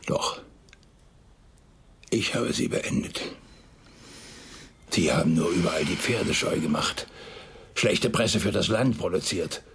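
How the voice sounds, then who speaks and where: An actor playing a patronising police chief in a radio crime drama, lecturing in a cutting, rhetorical way with a husky, gravelly voice. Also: male voice, low-pitched, slow, long pauses, a bit bright, exaggerated voice. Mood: cutting, dismissive. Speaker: head of a police investigation unit.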